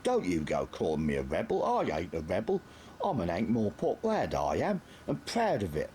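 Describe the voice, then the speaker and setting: A man's voice: deep voice, huge pitch swings, moderate pace, darkish tone, slight background noise, voice pushed up. A man voicing a scruffy young petty thief who whines and protests indignantly in an audiobook. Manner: whining, indignant, tearful protests. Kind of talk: audiobook character voice.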